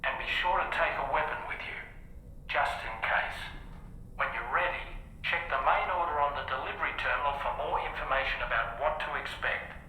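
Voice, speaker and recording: A man's voice: a little high, normal speed, pushed and bright. A man playing a practical, reassuring crew colleague in a video game, matter-of-fact and wordy over a radio link. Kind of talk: dramatic video game cutscene acting. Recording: echoey radio transmission with faint noise.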